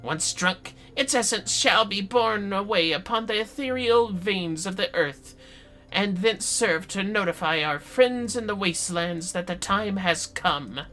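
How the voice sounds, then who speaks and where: Brief: fantasy game mage acted out by a streamer, solemn and theatrical, voice lifted high, music underneath. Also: bright, forceful tone. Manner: theatrical, portentous. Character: solemn mage quest-giver reading grand dark prophecy. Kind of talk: dramatic read-aloud of game dialogue.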